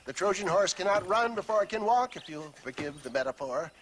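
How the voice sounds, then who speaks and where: A genteel scheming salesman in a western video game. He talks in a florid, pompous way, in a voice a little on the high side. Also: lively intonation, brisk, fairly bright tone, a little hiss or hum. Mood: smooth, theatrical. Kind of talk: animated western game acting.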